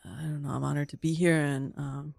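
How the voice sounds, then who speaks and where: Halting woman improvising comedy, dry sound. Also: low-pitched, fairly even intonation, gravelly, darkish tone, no echo. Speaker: guest improv student.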